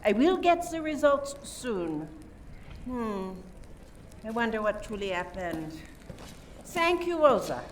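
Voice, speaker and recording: A suspicious detective, played by a woman questions in a deliberate, theatrical way for a radio drama. The voice is fairly high and very unhurried, picked up at some distance from the microphone. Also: very animated. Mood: probing, skeptical. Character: suspicious French detective methodically questioning suspects. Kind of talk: community theater radio play acting. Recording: distant microphone, faint background noise.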